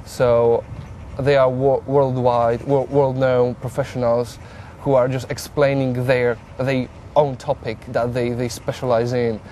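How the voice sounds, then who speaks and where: A man's voice: mid-range voice, level tone, measured pace, mellow, a little hiss or hum. A young man from Poland with a Polish accent, a psychology student giving hesitant interview answers and often repeating words.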